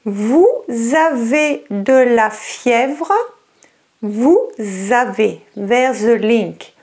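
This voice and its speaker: A woman with a French accent gives a lesson in a high, bouncing voice. She talks very slowly and over-articulates, repeating words and phrases so learners can follow. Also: animated, a little mellow. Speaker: French teacher. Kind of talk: slow, clear lesson narration in English and French.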